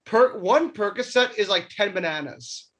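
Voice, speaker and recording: A man's voice: sits high, expressive, moderate pace, a bit bright. A young man co-hosting a podcast, rambling and stammering through casual comedic chat with frequent laughs. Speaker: podcast co-host.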